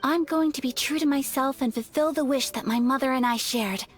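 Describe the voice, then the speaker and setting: Lady doing a soft polite noble girl from a video game, high voice, sincere, light music behind. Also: a little fast, lightly acted. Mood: earnest, hopeful. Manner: polite, soft-spoken, poetic. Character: refined, gentle young noblewoman, sincere and wistful. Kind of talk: video game character acting. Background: faint music.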